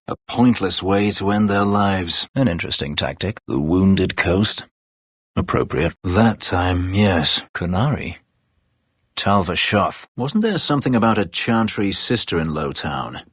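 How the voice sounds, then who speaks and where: Brooding elf warrior, very low husky voice, bitter ex-slave, terse formal phrasing, video game dialogue. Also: male voice, fairly bright tone, no echo, studio quality, exaggerated voice. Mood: dry, brooding.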